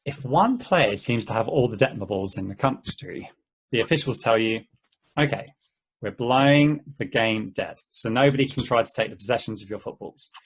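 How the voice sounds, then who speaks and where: Give the part of an exasperated football player to a man, telling stories in a husky voice. He talks casually and conversationally, as in a stage play table read. His pitch sits in the middle for a man. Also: expressive, moderate pace, low-quality recording. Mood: matter-of-fact. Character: exasperated, excitable man recounting his football game mishaps.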